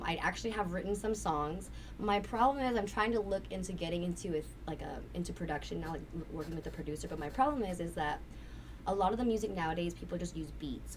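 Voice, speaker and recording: A woman with a raspy voice, chatting candidly and at length as a guest, swearing casually. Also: lowish voice. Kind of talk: casual interview chat. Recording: distant mic with faint noise.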